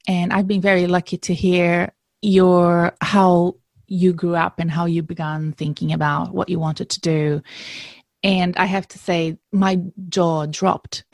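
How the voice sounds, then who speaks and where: A woman's voice: on the low side, no echo. A middle-aged woman hosting a career podcast live at an event, introducing her guest with upbeat enthusiasm. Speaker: podcast host, career coach.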